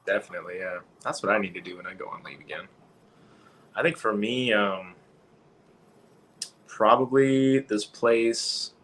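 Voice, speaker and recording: A man in his twenties, a podcast guest chatting in a relaxed way, laughing easily and saying "like" often. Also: lowish voice, a little hiss or hum. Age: 20s. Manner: laid-back, laughs often, says "like" a lot. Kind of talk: casual livestream chat.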